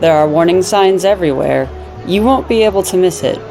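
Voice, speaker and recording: A woman playing an older half-elf nun, giving measured, earnest warnings in a fantasy audio drama. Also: fairly low pitch, expressive, mellow. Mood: helpful. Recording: music behind the voice.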